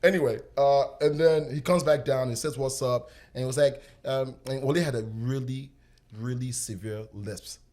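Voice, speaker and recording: A man's voice: mid-range voice, normal speed, darkish tone. A man casually telling an anecdote to friends, laughing often as he goes. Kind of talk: casual storytelling among friends.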